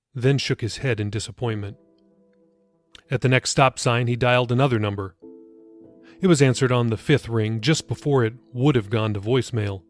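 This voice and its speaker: A man reading his own novel aloud as scripted audiobook narration. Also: medium pitch.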